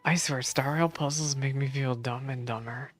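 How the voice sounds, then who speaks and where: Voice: medium pitch, steady tone, normal speed. A game streamer chatting casually on a live stream, rambling and thinking aloud while reacting to story cutscenes. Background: game music.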